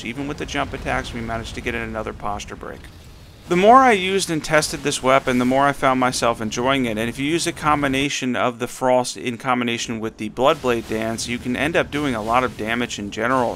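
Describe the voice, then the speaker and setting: A man with a hoarse voice from a cold, giving an upbeat commentary on a game build as a channel host. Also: medium pitch, bright, forceful tone, a little hiss or hum. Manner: upbeat, enthusiastic rundown.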